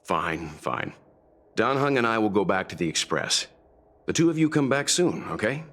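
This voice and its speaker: Very deep-voiced, wise fatherly mentor. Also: big pitch swings, fairly bright tone. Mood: calm, fatherly. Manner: measured, philosophical. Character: calm, wise, fatherly older gentleman mentor. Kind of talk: video game voice acting. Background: game music.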